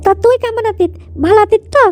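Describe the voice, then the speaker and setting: A woman's voice: very high voice, fast, background noise. A woman voicing a friendly, obliging young girl in a cartoon comedy, with a sweet, chatty manner. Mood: welcoming, chatty.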